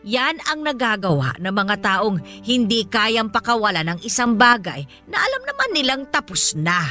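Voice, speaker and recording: A woman's voice: a little high, sing-song, moderate pace, pushed and bright, faint music behind. A woman acting a blunt, unfazed older folk healer in a Filipino radio drama, folksy and scolding. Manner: folksy, scolding, matter-of-fact.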